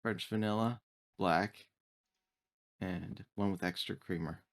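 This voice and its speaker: A man's voice: low-pitched, steady tone, slow, vocal fry, exaggerated voice. A man voicing a polite, literal-minded female android attendant in formal, precise, stilted speech for a tabletop game. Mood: polite, helpful.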